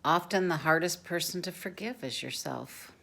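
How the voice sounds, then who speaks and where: A woman voicing a small, cheerful, gentle mole in a storybook, with a simple earnest delivery. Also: lowish voice, normal speed, gravelly. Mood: gentle, wise.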